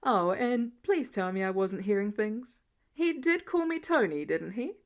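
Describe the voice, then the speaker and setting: A woman's voice: medium pitch, animated, unhurried. A woman plays a grown man in a narrated story, a genius billionaire boss. He is flippant and childish, brushing things off like someone who refuses to be serious. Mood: gleeful.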